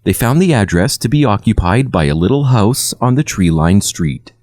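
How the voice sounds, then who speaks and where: Casting: male horror storyteller, low register with animated melody, measured scripted read, very dry recording. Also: no echo. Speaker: storyteller, narrator.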